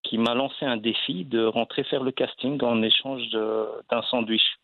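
A man's voice: mid-range voice, steady tone, moderate pace, noisy background. A man from Belgium, an actor and guest, telling his story in a casual interview with many fillers and restarts. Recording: dry, phone-like line.

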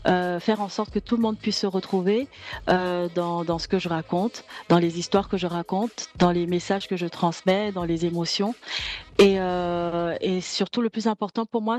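A woman's voice: medium pitch, darkish tone. Hesitant woman singer from Cameroon. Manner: hesitant, many restarts and repeated words. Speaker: singer and balafon player. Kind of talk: interview answers.

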